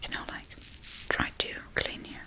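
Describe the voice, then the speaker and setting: A woman playing a scatterbrained, casually callous witch in a soft-spoken ASMR role-play, rambling in a breathy, mostly whispered voice. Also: normal speed, close to an everyday voice. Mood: offhand, musing. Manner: offhand, rambling, blithely unbothered.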